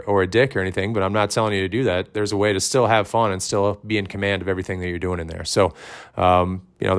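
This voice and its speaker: A man hosting a podcast, giving loud, direct coaching advice in casual talk, with many ums and restarts. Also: lowish voice, clear, resonant tone. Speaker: fitness coach and podcast host.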